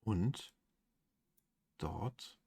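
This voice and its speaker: A man with a German accent giving casual game commentary as a let's play host, in a husky voice. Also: low voice, lively intonation, extremely slow, mellow.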